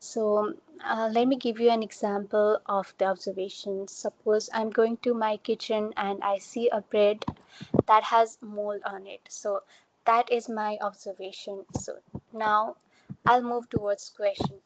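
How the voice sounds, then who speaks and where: A woman's voice: mid-range voice, level tone, unhurried, muffled recording, a little hiss or hum. A young woman from Nepal giving an online talk, explaining things step by step with simple examples. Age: young adult. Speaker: student researcher giving a talk. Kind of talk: online presentation. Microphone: computer mic on a video call.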